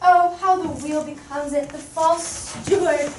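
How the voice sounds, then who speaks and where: A woman's voice: sits high, steady tone, unhurried, a little mellow, a little hiss or hum. A woman acting a young noblewoman driven mad by grief, drifting between speech and snatches of song, recorded from far off in an echoey hall. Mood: distracted. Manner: wandering, sings snatches of song. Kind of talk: stage acting of verse drama.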